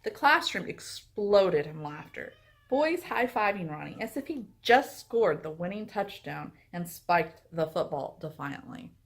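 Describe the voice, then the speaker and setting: Animated woman book vlogger, lively pitch. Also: unhurried. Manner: animated, expressive. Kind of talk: chatty vlog with expressive read-aloud book excerpts.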